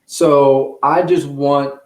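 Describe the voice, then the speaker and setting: A man's voice: a little high, steady tone, extremely slow, darkish tone. Echoey recording of a man being interviewed. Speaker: guest, children's author and sports journalist. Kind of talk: conversational interview answers. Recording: echoey room sound.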